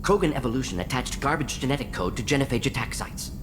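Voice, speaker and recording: A man's voice: medium pitch, lively intonation, background noise, lightly acted. An actor playing a brainy, pragmatic male alien scientist in a video game, speaking in clipped, telegraphic phrases. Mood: clinical. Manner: clipped telegraphic phrases, rapid-fire listing. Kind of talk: video game dialogue acting.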